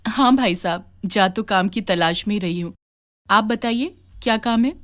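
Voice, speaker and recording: A woman's voice: mid-range voice, moderate pace, fairly bright tone, lightly acted. A woman voicing a kindly, hardworking village mother in a children's story, polite and affectionate. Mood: eager, polite. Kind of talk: acted dialogue in a children's moral story.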